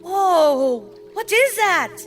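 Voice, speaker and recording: A woman's voice: very high voice, expressive, extremely slow, faint music behind. A woman playing a character in a tabletop role-playing game with an eager, wide-eyed delivery. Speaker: player.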